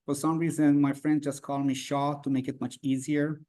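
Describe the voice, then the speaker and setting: A man from Iran, a native Farsi speaker, answering interview questions in halting English with many fillers and restarts. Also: on the high side, level tone, dark tone. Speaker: guest.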